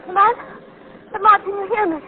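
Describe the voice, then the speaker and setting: A woman's voice: extremely high pitch, steady tone, brisk. An actress playing an anxious, refined young lady in 1940s radio drama, speaking in a breathless, alarmed way. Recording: tinny, noisy 1940s radio recording.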